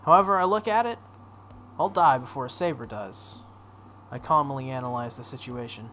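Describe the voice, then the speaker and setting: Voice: mid-range voice, normal speed, fairly bright tone. A playthrough host reads a visual novel aloud in a mid-range voice. The delivery is dramatic narration mixed with casual asides. There is a light layer of noise on the recording. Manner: acts out dialogue, breaks off for offhand comments.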